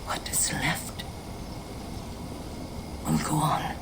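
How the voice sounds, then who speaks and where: An actress playing a grieving Norse widow in a game, speaking in a hushed, whispering farewell. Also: female voice, extremely slow, background noise. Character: grieving Norse widow mourning her lover.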